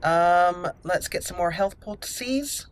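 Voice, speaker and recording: A woman hosting a roleplay game playthrough, deliberately narrating her actions aloud. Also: fairly low pitch, expressive. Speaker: gaming channel host. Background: faint game music.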